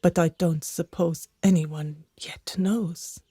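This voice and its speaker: A woman playing a pious, gossiping household servant in a period radio drama, hushed and confiding. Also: on the low side, steady tone, slow pace, slightly rough, no echo, clean studio sound.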